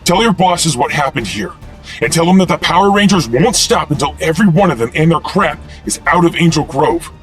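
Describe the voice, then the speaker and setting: Man playing a menacing high-voiced young superhero. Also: lively intonation, fairly quick, music in the background, natural voice. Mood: grim, taunting. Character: tough, righteous young male costumed superhero vigilante.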